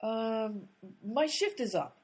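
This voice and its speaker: An actress in a radio comedy playing an exasperated female amateur sleuth who talks in a brisk, bossy way. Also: on the high side, expressive, husky, exaggerated voice. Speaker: lead character, amateur sleuth. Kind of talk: comic radio play acting.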